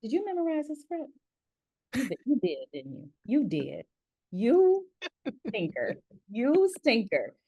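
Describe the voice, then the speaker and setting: A woman's voice: a little high, huge pitch swings, unhurried, long pauses, mellow, breathy edge. A woman hosting a casual interview, chatting in a playful, teasing way and readily interjecting. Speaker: interview host. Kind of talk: casual chat.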